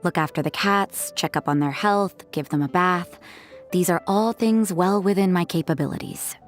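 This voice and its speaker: A woman voicing an aloof, jaded young church sister in a game, with a cool, deadpan, detached delivery. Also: lowish voice, normal speed, soft background music, close to an everyday voice. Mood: flat, resigned. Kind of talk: English game dub voice acting.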